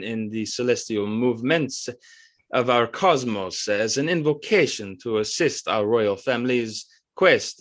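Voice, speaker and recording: A man playing a nervous, obsequious French court choreographer who speaks haltingly in an audio drama. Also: mid-range voice, lightly acted. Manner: halting, stammering, deferential. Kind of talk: stage-style audio drama acting.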